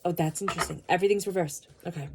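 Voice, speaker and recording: A woman with a cold giving a tarot reading, speaking earnestly and slowly repeating her invocations. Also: on the low side, slightly rough. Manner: soothing guided meditation. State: has a cold. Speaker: tarot reader.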